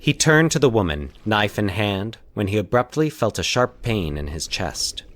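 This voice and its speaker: Male horror podcast narrator, low register, highly varied intonation, dramatic and suspenseful storytelling. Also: very animated, normal speed, a bit bright. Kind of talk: scripted horror fiction narration.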